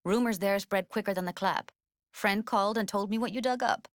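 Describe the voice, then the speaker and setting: A woman's voice: mid-range voice, fairly quick, no room sound, studio quality, close to an everyday voice. A woman voicing a streetwise, guarded young techie in a video game, blunt and slangy, with an American accent. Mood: guarded, sardonic. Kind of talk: naturalistic video game dialogue.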